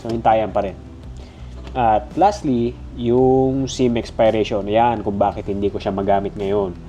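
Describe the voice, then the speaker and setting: A man's voice: on the low side, fairly even intonation, measured pace, warm tone, highs cut off, soft background music. A Filipino-accented man with a stuffy nose, giving a casual, conversational gadget review. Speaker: product reviewer.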